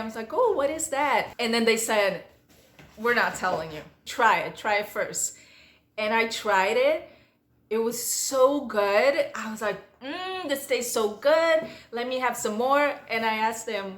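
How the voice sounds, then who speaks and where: Woman, Portuguese native speaker, casual vlog Q&A, chatty storyteller, slightly high voice. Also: reverberant. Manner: chatty storytelling, reads each question aloud then answers. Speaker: vlogger.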